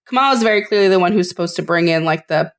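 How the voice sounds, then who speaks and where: A woman's voice: on the low side, very fast. A woman in her thirties co-hosting a podcast, chatting casually, swearing freely and laughing often as she retells scenes. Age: 30s. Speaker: podcast co-host. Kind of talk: casual chat.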